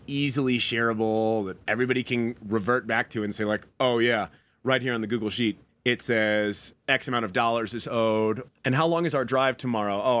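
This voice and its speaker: A man's voice: mid-range voice, fairly bright tone. Casual young man, chatty and rambling. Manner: casual, rambling with many fillers. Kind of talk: video call interview. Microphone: studio mic on stand.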